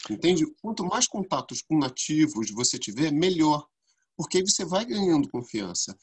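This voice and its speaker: A man co-hosts a relaxed podcast chat. His voice is slightly husky and pitched a little high. He tells lively stories and leaps even higher when he acts out what people said. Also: normal speed. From Brazil. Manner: animated storyteller, voice jumps high when acting out quotes. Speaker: podcast co-host.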